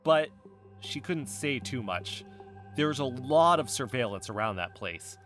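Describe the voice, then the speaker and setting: An actor playing an earnest, defensive amateur investigator in a naturalistic fiction podcast, speaking insistently as if in real conversation. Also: male voice, medium pitch, lively intonation, measured pace, fairly bright tone, soft background music.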